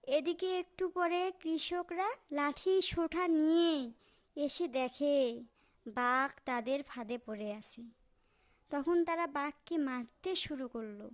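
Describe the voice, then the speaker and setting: A woman's voice: high-pitched, takes it slowly. A woman narrating a scripted children's cartoon story.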